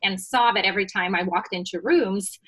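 A woman from Russia with a Russian accent, telling her story as a podcast guest with lively energy. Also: mid-range voice, a little fast, breathy edge. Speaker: guest, business founder. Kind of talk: podcast interview.

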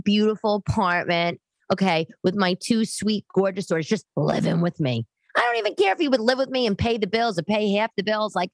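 A woman in her late thirties hosting a podcast, talking casually and bluntly to her listeners in an animated, conversational way. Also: on the low side, no echo. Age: late 30s. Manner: animated, conversational, acts out others' remarks. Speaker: podcast host. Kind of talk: casual, chatty monologue to listeners.

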